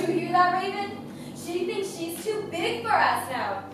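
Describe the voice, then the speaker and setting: A teasing, gossipy circus woman mocks in a very high, animated stage voice. She sounds far from the mic in a strongly echoing theater. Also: a little slow, noisy background. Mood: mocking. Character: mocking, gossipy female circus performer. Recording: echoey theater from afar, loud music.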